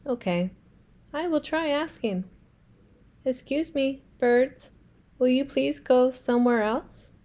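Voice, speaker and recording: A woman's voice: high-pitched, slow pace, pauses often, darkish tone. A woman voicing an anxious, fretful male elephant in a children's storybook read-aloud, asking worried questions. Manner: worried, questioning, rising alarm.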